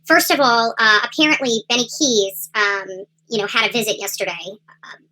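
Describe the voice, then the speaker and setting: A woman hosting a livestream in a casual, rambling commentary style with halting delivery. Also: a little high, expressive, sharp tone, rough recording. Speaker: livestream host. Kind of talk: casual livestream chat, true crime commentary.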